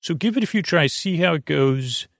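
A man with a creaky voice hosting a sleep podcast, rambling in a soothing, halting way and stammering over repeated words. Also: a little high, expressive, no room sound, studio quality. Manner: soothing, lulling. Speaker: podcast host. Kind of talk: rambling bedtime monologue to lull listeners to sleep.

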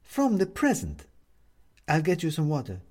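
A man's voice: a little high, huge pitch swings, normal speed, darkish tone, pitched above the performer's own voice. A man voicing a kindly, anxious middle-aged woman neighbour in a storybook, with a concerned, slightly formal manner.

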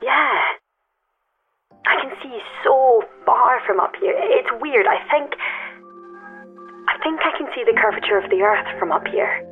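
An actress playing a reflective, weary but serene climber in an audio drama, talking gently and hesitantly through a telephone filter. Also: female voice, fairly high pitch, lively intonation, measured pace, long pauses. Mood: awed, serene. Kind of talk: naturalistic audio drama acting, intimate conversation. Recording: tinny phone line, music underneath.

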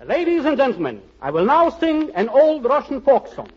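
A man's voice: very high-pitched, huge pitch swings, normal speed, darkish tone, exaggerated voice. An actor playing a pompous, theatrical male singer in a 1940s radio comedy, talking in a florid, gushing way. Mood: grand. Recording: lo-fi old radio broadcast with music.

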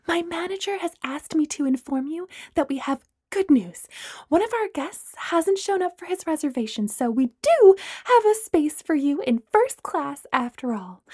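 A discreet flight attendant, voiced by a female narrator, speaks in a high, airy, hushed whisper. She sounds conspiratorial and polite, a figure in a spoken story. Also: dry recording, clean studio sound.

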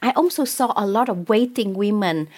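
A woman with a Vietnamese accent speaks in a conversational interview as an author. She talks in a hesitant way, with frequent fillers and restarts, and her pitch sits in a middle range. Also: normal speed, darkish tone. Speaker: author and poet.

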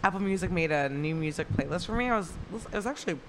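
A woman's voice: on the low side, expressive, quick pace, background noise. A young adult woman chatting casually and gossipily as a podcast co-host, emphatic and leaning on filler "like". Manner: gossipy, emphatic, heavy use of "like". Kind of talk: casual chat.

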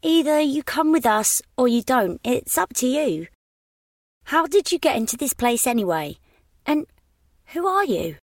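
Man as a plucky young boy, high lively voice, breathless, storybook. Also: measured pace, no room sound, studio quality, heavily acted. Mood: bossy. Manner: breathless, excited.

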